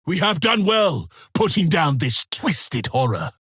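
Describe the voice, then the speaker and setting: An actor playing a grim, duty-bound space-marine soldier in a video game, giving solemn, clipped battle declarations in a harsh, raspy voice. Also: male voice, a little high, big pitch swings, a little slow, fairly bright tone, no room sound, studio quality, heavily acted. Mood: solemn, resolute.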